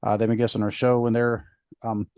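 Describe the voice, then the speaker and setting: A man's voice: lowish voice, level tone, fairly quick, a little mellow, clear, resonant tone, no echo, studio quality. A man co-hosting a podcast, chatting in a rambling way with lots of fillers. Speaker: podcast co-host. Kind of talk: casual podcast chat.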